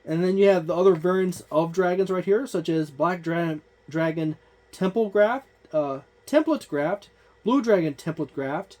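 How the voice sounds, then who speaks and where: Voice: mid-range voice, normal speed, dark tone. Rambling, casual tabletop channel host. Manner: loose and rambling, many fillers; points things out on the page. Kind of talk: casual book walkthrough.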